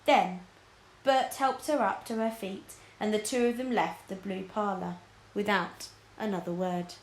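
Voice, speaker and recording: Female storyteller, mid-pitched, expressive children's book narration, recorded in an echoing room. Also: a little slow, a little hiss or hum. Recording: roomy, echoing indoor sound.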